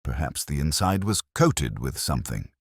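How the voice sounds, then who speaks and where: Guy doing a dry clipped detective for an audiobook, super deep, bit husky. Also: sing-song, moderate pace, dry recording, full character voice. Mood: incisive. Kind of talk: audiobook character dialogue.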